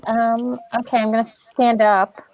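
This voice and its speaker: A woman's voice: on the high side, little pitch movement, unhurried, gravelly, darkish tone, no room sound, lo-fi sound. A woman talking casually as a player in an online tabletop game, speaking close to the mic.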